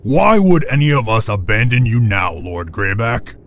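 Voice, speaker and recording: A man's voice: fairly low pitch, big pitch swings, normal speed. A man playing an earnest, loyal warrior companion in a video game. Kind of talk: dramatic video game acting.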